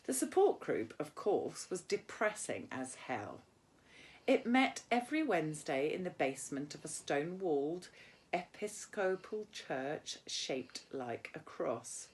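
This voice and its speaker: A woman's voice: low-pitched, sing-song, a little slow. A British woman, an English teacher, reading a novel aloud and explaining it informally as she goes.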